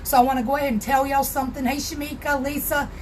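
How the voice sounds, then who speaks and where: Hoarse Southern woman hosting a craft live stream. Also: sits high, little pitch movement, fast, slight background noise. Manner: chatty, greets viewers by name. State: hoarse, raspy voice. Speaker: crafting tutorial host. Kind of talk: casual live-stream tutorial. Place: home craft room.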